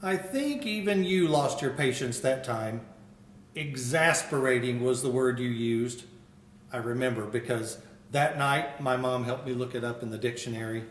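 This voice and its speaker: An older man, a school principal, reading a picture book aloud with expressive storytelling. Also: mid-range voice, moderate pace, distant mic, a little hiss or hum. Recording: roomy echo.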